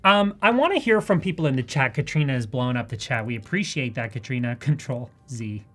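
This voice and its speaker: A woman with a Canadian accent giving a live software demonstration in a casual, chatty and enthusiastic way. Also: rumbling low, expressive, a little fast. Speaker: presenter of a live software tutorial.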